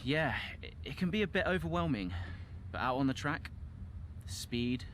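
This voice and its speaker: An actor playing an earnest, modest young rookie racing driver with a hesitant way of answering. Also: male voice, medium pitch, very animated, a bit bright, slight background noise. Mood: awed, modest. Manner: hesitant, halting interview answers.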